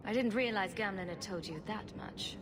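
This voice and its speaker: Woman playing a composed, mid-pitched adventurer. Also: moderate pace, noisy background, close to an everyday voice. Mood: cool, direct.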